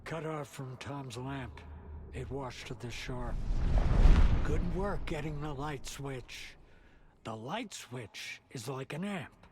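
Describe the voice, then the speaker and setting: An old, salty-tongued grandfather figure from a video game, speaks in a mid-pitched voice at a very slow pace. He is cryptic and portentous, yet folksy, like an aged rocker handing down prophecy. Faint ambient noise sits behind him. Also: lively intonation. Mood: cryptic, approving. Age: older. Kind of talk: dramatic game cutscene acting.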